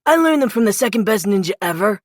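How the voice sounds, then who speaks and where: A woman's voice: medium pitch, expressive, fast, no echo, clean studio sound, natural voice. A woman voicing a boastful, eager, quirky male frog warrior in a children's storybook. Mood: proud.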